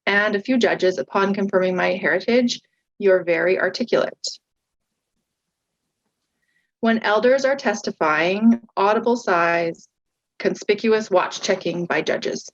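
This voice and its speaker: A woman reading a testimony in a measured, serious manner. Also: medium pitch, muffled recording. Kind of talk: read testimony narration.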